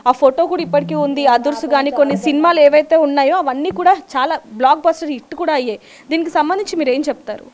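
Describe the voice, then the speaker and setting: A woman's voice: high voice, fast talker. A woman reporting live news from the field in a wordy, emphatic way.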